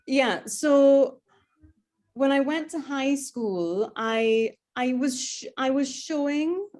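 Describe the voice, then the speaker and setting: A woman's voice: high-pitched, slow pace, darkish tone. Chatty woman telling her story. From Trinidad. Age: 30s. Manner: chatty, with frequent ums and restarts. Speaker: guest speaker, airline pilot. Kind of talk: personal story talk.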